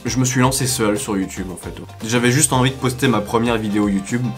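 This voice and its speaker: A man who does voice acting answers fan questions in a relaxed, joking way, often hesitating, on a studio condenser mic. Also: mid-range voice, fast talker, faint music behind. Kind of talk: casual chat.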